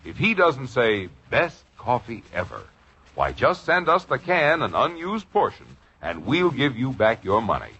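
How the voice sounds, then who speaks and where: A man's voice: medium pitch, huge pitch swings, measured pace, fairly bright tone, a little hiss or hum. A man announcing a 1950s radio commercial in an emphatic, persuasive manner. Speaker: radio announcer reading a sponsor's ad. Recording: dull vintage radio recording.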